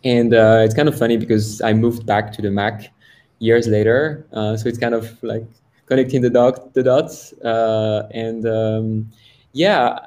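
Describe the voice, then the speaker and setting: A man's voice: on the low side, steady tone, unhurried, a little mellow. Hesitant French-born man in his thirties. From France. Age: 30s. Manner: hesitant, many restarts and fillers. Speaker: guest, software founder. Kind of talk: casual interview talk.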